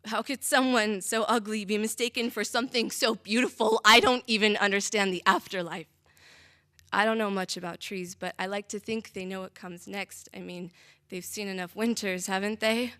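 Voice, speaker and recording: A young woman performing spoken-word slam poetry with a dramatic, deliberate delivery and measured pauses. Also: medium pitch, fairly even intonation, sharp tone. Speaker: slam poet.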